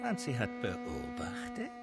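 A man's voice: very high-pitched, wildly swooping pitch, music in the background, full character voice. A male actor playing an insolent, scheming coachman in a German radio drama, insinuating and spiteful. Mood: gloating, spiteful. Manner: insinuating, drawn-out then rushed phrasing.

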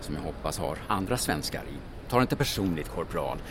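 An actor playing a sardonic, defiant clerk travelling under occupation in a radio drama, dry and mock-polite. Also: male voice, rumbling low, lively intonation. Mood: dry defiance. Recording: noisy urban station with vehicles.

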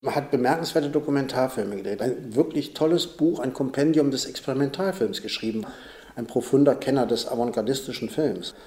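A man's voice: medium pitch, a little fast, warm tone, echoey room. A man giving spontaneous expert commentary in an interview, speaking in fragmentary phrases.

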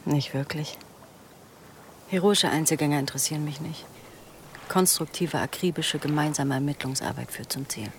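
A woman's voice: low voice, normal speed, long pauses, slight background noise. An actress playing a self-possessed young woman in police work, cool and matter-of-fact, in a naturalistic radio drama. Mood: dry, disdainful. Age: young adult.

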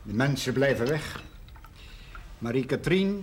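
A man's voice: medium pitch, lively intonation, a little slow, a little hiss or hum, lightly acted. A man acting an earnest, tactful village schoolmaster in a television period drama, speaking carefully and diplomatically. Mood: earnest, concerned. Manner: careful, diplomatic, hesitant in criticism.

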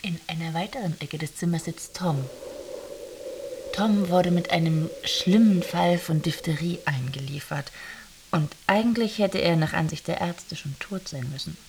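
A dry, detached storyteller in a radio drama. The woman sounds set back from the mic, with clear noise and clicks. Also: lowish voice, unhurried, a little mellow. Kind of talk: literary radio drama narration. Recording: distant mic, noisy with clicks.

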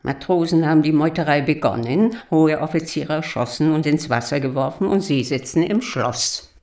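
An actress playing a proud old German countess in a radio drama, gravelly, formal and indignant, grieving for her monarchy. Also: low voice, normal speed, a little mellow. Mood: indignant, reproachful. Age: older.

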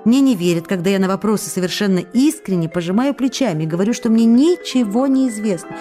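An actress playing an affectionate, teasing, wistful woman of the theatre reading her letters aloud in an intimate, expressive radio drama style. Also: mid-range voice, fast talker, natural voice. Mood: weary, wistful. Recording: music behind the voice.

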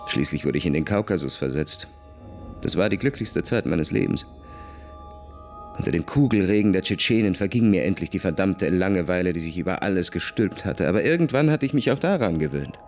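A man's voice: sits low, expressive, brisk, a little mellow, faint music behind. An actor playing a jaded young aristocratic officer, confessing his boredom and restless melancholy in a radio play. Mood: weary confessing. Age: young adult. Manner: world-weary, confessional.

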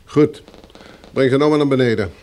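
Radio play: hard male boss of a press gang, acted by a man, curt, commanding, mid-pitched. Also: brisk, darkish tone, natural voice, poor audio. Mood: brisk, stern. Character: bossy, hard male leader of a press gang giving orders. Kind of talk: Dutch radio play acting.

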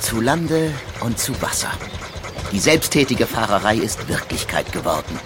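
An actor playing a dignified, enthusiastic visionary inventor in a historical radio drama, speaking in a formal, declamatory way. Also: male voice, on the low side, very animated, normal speed, noisy background. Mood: savoring, contented. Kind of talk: historical radio docudrama acting.

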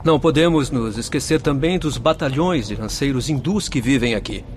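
A man's voice: mid-range voice, expressive, moderate pace, slight background noise, lightly acted. A Brazilian man playing an earnest spirit guide in a radio drama, with formal, declamatory delivery. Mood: admiring. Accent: Brazilian Portuguese.

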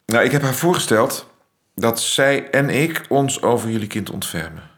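A man's voice: mid-range voice, lively intonation, moderate pace, a bit bright, close to an everyday voice. An actor in a radio play playing an ironic, worldly male astronomer with a dry wit. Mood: wry. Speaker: Max, astronomer. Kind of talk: radio drama acting.

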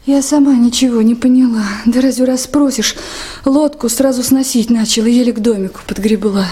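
An actress playing a naive, shaken young woman from a river station crew, halting and earnest, in Soviet radio drama style. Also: fairly high pitch, fairly even intonation, mellow, breathy edge. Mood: shaken, hurried recounting.

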